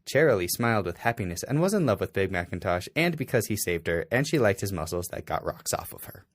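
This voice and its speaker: A man's voice: low voice, big pitch swings, fast, no room sound. A man reading a story aloud in an exaggerated, mock-serious dramatic way. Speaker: amateur fanfiction reader.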